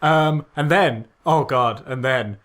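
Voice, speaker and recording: A man co-hosting a podcast, talking casually and jokingly about films, halting and repeating himself with a sardonic, agreeable manner. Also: medium pitch, fairly bright tone. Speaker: podcast co-host. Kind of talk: casual comedic film critique chat.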